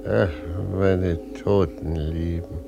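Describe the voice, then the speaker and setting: An actor playing an old sea captain who answers slowly and sorrowfully in a radio play. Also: male voice, sits low, steady tone, vocal fry, lo-fi sound, full character voice. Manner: slow, sorrowful replies. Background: music.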